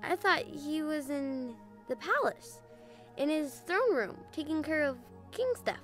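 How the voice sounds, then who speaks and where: A woman playing a curious, easily puzzled young girl cadet in a children's audio drama, blunt and expressive. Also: sits high, unhurried, faint music behind. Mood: baffled.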